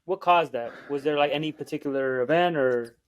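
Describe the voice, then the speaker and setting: A man's voice: a little high, a little fast, dull audio. A man hosting a podcast, asking casual, loosely phrased interview questions with fillers. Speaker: podcast host.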